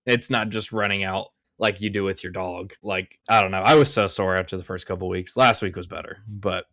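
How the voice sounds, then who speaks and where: A man's voice: low-pitched, fairly even intonation, brisk, sharp tone, dry recording. Rambling young man in a dry room. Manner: rambling, lots of fillers. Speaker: podcast host. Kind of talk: casual chat.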